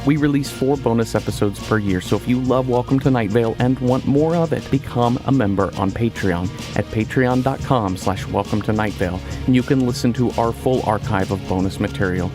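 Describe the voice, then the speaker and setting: A man with a mid-pitched voice delivers podcast promos. He is informal and wry, dropping chatty asides into the scripted read, with music clearly playing underneath. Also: brisk. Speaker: podcast announcer. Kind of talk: casual scripted announcements and promos.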